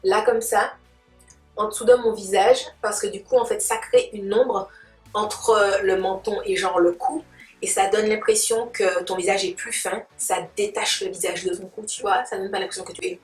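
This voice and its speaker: A woman's voice: medium pitch, fairly even intonation, moderate pace. A woman giving a casual makeup tutorial, chatting playfully in slang and addressing viewers like friends. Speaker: beauty vlogger demonstrating makeup. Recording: roomy, distant mic, music behind.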